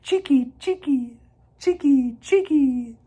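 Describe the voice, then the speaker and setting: A storybook reader voicing small wild birds with high, repetitive chirping calls. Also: slow, mellow. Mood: scolding.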